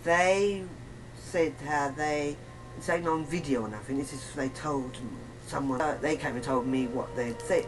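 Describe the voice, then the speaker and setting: A woman giving a casual, rambling account in a chat, halting and often repeating herself. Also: deep voice. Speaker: interview guest. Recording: noisy amateur recording of a chat.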